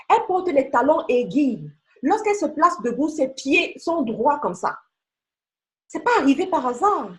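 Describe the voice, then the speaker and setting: A woman giving a motivational sales training talk, emphatic and coaxing, speaking straight to the listener. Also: fairly high pitch. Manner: emphatic, coaxing, addresses listener directly with rhetorical questions. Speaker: beauty sales team leader and trainer.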